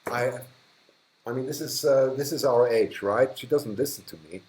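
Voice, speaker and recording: A man's voice: mid-range voice, normal speed, a little mellow. A man with a German accent talks casually to a live audience in a medium voice. He chats, laughs often and trails off into restarts. The room around him is noticeably echoey. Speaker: livestream host. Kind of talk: casual live chat reading viewer comments.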